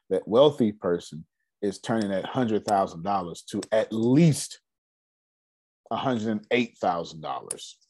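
A man in his forties giving a casual, energetic finance seminar talk, asking rhetorical questions and answering them himself. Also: fairly low pitch, breathy edge. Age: 40s. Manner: loose, energetic, repeats key points. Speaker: finance speaker.

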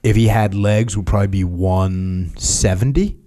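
Low, mellow podcast host. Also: male voice, fairly even intonation. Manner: deliberate, emphatic, repeats his points. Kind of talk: casual chat with sponsor reads.

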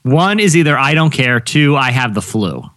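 A man's voice: mid-range voice, big pitch swings, moderate pace, heavy background noise. A man co-hosting a podcast, chatting casually and joking, laughing often. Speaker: podcast co-host. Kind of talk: casual chat.

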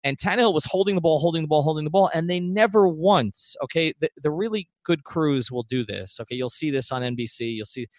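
A man's voice: on the high side, fast, few pauses, no room sound, clean studio sound. A man hosting a sports podcast, giving conversational analysis in an emphatic way and repeating phrases for stress.

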